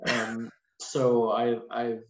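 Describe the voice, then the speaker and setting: A man in his forties speaking casually and haltingly as an interview guest over a video call. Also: mid-range voice, completely flat delivery. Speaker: podcast guest, lawyer. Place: small indoor room, video call. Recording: echoey, muffled video call with noise.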